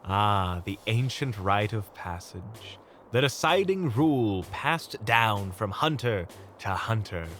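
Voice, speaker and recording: A man's voice: fairly low pitch, lively intonation, takes it slowly, fairly bright tone, slight background noise. A man acting as a wry, reassuring monster hunter who teases and explains things in an audio roleplay. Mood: wry, mock solemn.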